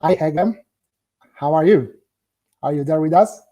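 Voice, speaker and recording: A man's voice: on the high side, a little slow, dark tone, dull audio. A man hosting an interview podcast over a video call, asking short questions with some fillers. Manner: friendly, brief prompts with fillers. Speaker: podcast host.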